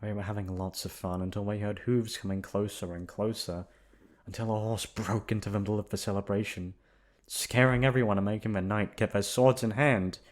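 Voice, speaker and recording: Male narrator, low register, ASMR bedtime storybook reading. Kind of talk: soft storybook narration, ASMR sleep aid.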